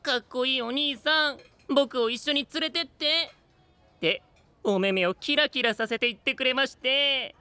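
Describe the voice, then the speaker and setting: A man's voice: extremely high pitch, moderate pace, bright, forceful tone, clear, resonant tone, no room sound, faint music behind, full character voice. A man playing a sly young knight with courteous wording and a smug, teasing manner in an anime-style audio drama. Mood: playful teasing.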